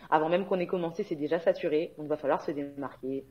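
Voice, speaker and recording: Lower-pitched chatty woman, muffled sound. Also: brisk. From Guadeloupe. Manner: chatty, frequent hesitations and laughter. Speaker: guest. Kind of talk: casual conversational interview. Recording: dull lo-fi sound.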